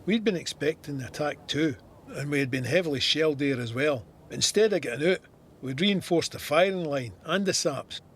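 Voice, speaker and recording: A man's voice: a little high, expressive, normal speed, breathy edge. An actor with a Scottish accent playing a wry, plain-spoken soldier narrating his war memoir with dry understatement. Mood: matter-of-fact recollection.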